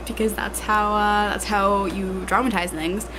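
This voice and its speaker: A woman's voice: mid-range voice, background noise. A young woman with a congested, tired voice chats casually at home, full of fillers like "like" and "um". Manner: chatty, filler-heavy, self-deprecating. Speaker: vlogger. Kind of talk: casual rambling vlog. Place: at home indoors. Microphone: handheld camera at arm's length.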